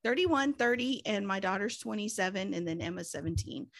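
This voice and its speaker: A woman in her fifties tells her story in a chatty, animated way. She slips into quoted voices as she goes. Her pitch sits in the middle of the range. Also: fairly quick, creaky. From United States. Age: 50s. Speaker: pastor's wife and guest. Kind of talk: casual storytelling.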